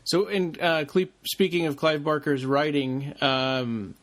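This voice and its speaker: Slightly high-pitched man, casual and halting. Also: fairly bright tone. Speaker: podcast co-host. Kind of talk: casual podcast chat.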